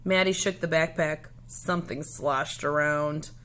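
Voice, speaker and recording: A woman reading a children's storybook aloud in a deliberate, expressive way. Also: low voice, slight background noise.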